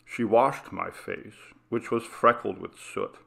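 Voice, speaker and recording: A man narrating a classic ghost story in a measured, hushed reading style. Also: fairly low pitch, vocal fry. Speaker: audiobook narrator.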